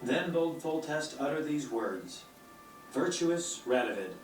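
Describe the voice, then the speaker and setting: Casting: florid, pompous game bard, somewhat high voice, roomy playback over music. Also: male voice, expressive, a little slow, distant mic. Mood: pompous, wry. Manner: florid, declaiming. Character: theatrical, pompous poet bard. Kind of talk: video game cutscene acting.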